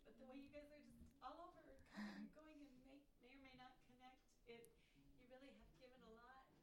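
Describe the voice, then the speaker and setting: A woman from the church group speaking softly and reflectively. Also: high voice, fairly even intonation, fast. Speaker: church member. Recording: distant, echoey, noisy.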